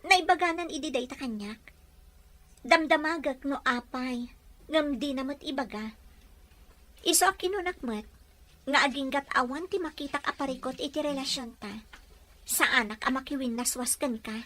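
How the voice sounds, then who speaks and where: Sincere young Filipina sweetheart and daughter, radio soap, very high, forward voice. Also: female voice, normal speed, long pauses, fairly bright tone. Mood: upset, puzzled. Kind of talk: Filipino radio drama acting.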